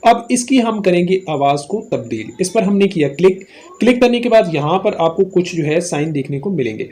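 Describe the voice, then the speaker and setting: A man's voice: high-pitched, big pitch swings, brisk, darkish tone. A man with a Pakistani accent hosting a step-by-step phone app tutorial. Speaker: host of a tech tutorial channel. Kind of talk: scripted-style phone app tutorial narration.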